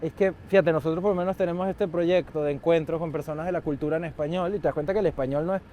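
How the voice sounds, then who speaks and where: A man's voice: a little high, fairly even intonation, warm tone, slight background noise. A man hosting an interview outdoors on a rooftop, friendly and talkative. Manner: friendly, talkative, quick to joke. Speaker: host. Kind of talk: casual interview.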